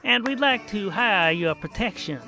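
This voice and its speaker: Comic fantasy audiobook: pompous, flustered small-town gentleman, played by a man, high-pitched and formal, pleading his case. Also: animated, moderate pace, faint music behind, exaggerated voice, low-quality recording. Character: pompous, fussy, indignant small-town businessman pleading for help.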